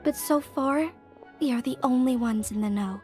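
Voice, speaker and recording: A woman's voice: high-pitched, normal speed, darkish tone, close to an everyday voice. A woman as an earnest young craftswoman. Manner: earnest, explanatory, slightly modest. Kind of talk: video game voice acting. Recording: game cutscene with faint music.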